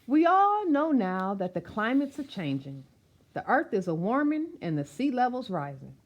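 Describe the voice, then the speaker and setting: Woman doing storytime read aloud, super lively pitch swings, emphatic, chats to the listeners. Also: moderate pace, lo-fi sound. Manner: expressive, emphatic, asking the listeners questions. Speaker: storyteller reading a picture book to children. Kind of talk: animated read-aloud of rhyming picture book with asides.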